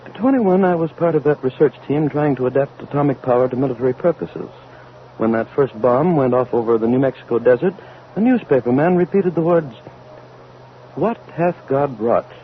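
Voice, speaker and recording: Actor as solemn scientist, tinny old radio. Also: male voice, lightly acted. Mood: brooding, grave. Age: older. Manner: solemn, deliberate, authoritative, formal wording. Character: grave, haunted elderly atomic scientist commanding a rocket. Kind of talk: vintage American radio drama acting. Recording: tinny vintage radio recording, background rumble.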